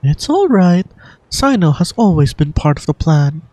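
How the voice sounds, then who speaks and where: Woman playing a calm, protective female guardian. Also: fairly low pitch, a little hiss or hum. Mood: calm, reassuring. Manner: measured, articulate, reassuring. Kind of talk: amateur video game dubbing.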